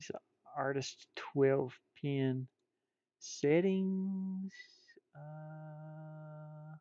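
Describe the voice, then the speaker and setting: A man's voice: a little high, fairly even intonation, very slow, dark tone, clean tone, no room sound. Muttering, folksy man in a dull, dry recording. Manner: muttering, thinking aloud to himself. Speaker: streamer and hobby artist. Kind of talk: casual livestream chat while drawing.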